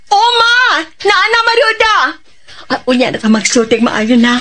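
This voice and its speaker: A woman's voice: very high-pitched, big pitch swings, a little slow, bright, forceful tone, heavily acted. A woman playing a polite young lady doctor in a Filipino Bisaya radio drama. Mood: cheerful.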